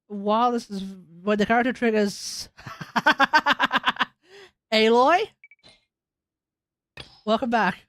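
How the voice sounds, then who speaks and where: A woman's voice: mid-range voice, a bit bright, no echo. A woman giving casual live reactions as she streams a video game, with noise and clicking behind her. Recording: clear background noise, clicks.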